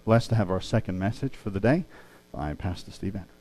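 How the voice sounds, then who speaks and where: A man's voice: low-pitched, fairly quick, warm tone, slight background noise. A man formally introducing the next speaker at a church service. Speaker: church announcer.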